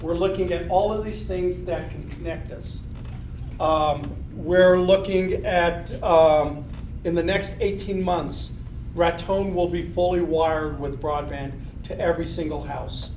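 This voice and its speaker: A man in his fifties gives an earnest speech to a business gathering, leaning on repeated rallying phrases and frequent ums and you-knows. His voice sits a little high and sounds distant, caught from across an echoey room with a faint hiss underneath. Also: fairly even intonation, unhurried. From southern Colorado. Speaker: city manager. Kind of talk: public speech to a business meeting. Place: meeting room, unamplified.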